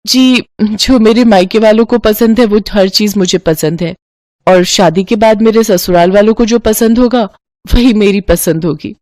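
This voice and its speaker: A woman voicing a naive, excitable young sister-in-law who is eager to please, in a dramatic, earnest way. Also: medium pitch, normal speed, dry recording, lightly acted. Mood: demure.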